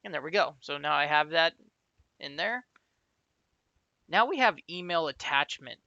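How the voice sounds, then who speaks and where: A man's voice: on the high side, lively intonation, measured pace, vocal fry, pushed and bright, dry recording, highs cut off. A man giving an informal, explanatory step-by-step screencast tutorial in a conversational way, as an instructor.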